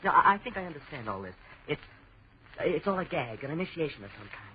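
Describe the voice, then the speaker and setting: A rattled young American man stammers and presses for answers, his highish voice swinging widely. The vintage radio horror recording is dull and noisy. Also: big pitch swings, quick pace. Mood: insistent, bewildered. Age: young adult. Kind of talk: 1940s radio horror acting.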